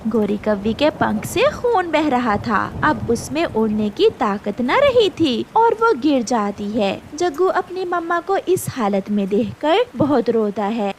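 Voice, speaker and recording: High, lively woman narrating children's stories. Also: a little hiss or hum. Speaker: story narrator. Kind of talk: scripted children's story narration.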